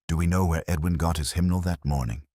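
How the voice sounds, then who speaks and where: A man performs a courteous male police inspector in a detective story. The voice is very deep and slightly husky, and the questions are gentle but incisive. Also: fairly even intonation, fast, no echo, clean studio sound. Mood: calm probing. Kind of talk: mystery story dialogue.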